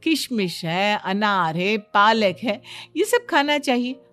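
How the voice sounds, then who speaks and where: A woman's voice: medium pitch, lively intonation, slow, fairly bright tone, faint music behind. A woman voicing a confident, breezily boastful young daughter-in-law in a Hindi cartoon, explaining everything with self-assurance. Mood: self-assured. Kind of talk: Hindi cartoon story dialogue.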